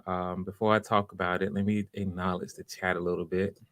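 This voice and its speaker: Male livestream host, lower-pitched voice, close dry sound; casual, welcoming, encouraging, speaks off the cuff with halting phrasing. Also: little pitch movement, fairly bright tone, no echo. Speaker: host of live online voice auditions, writer. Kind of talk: casual livestream chat, greeting viewers by name.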